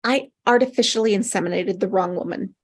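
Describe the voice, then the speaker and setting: A woman's voice: medium pitch, brisk, breathy edge, natural voice. A woman playing an anxious, apologetic doctor in a script table read. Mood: anxious, confessing. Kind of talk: acted script reading.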